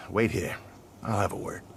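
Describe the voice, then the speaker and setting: A polite police officer in a game. He speaks casually and mildly, with highly varied intonation. Loud background noise surrounds the voice. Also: exaggerated voice. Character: polite, easygoing male police officer off guard. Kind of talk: naturalistic game acting.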